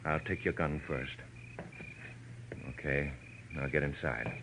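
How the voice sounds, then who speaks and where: An actor playing a cold, calculating talent agent turned murderer in a 1940s radio crime drama, with controlled, emphatic delivery. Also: male voice, a bass voice, slow, vocal fry, full character voice. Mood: cold menace. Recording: noisy old radio recording.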